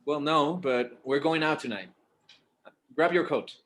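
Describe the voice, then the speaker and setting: A man playing a patient, slightly exasperated adult son in a screenplay table read, casual and halting, with bits of Spanish. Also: a little high, fairly bright tone. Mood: coaxing.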